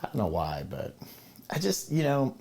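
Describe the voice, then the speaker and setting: A man from Southern California hosting a casual interview, laid back and quick to agree and laugh. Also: mid-range voice, wildly swooping pitch, gravelly, darkish tone. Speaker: podcast host.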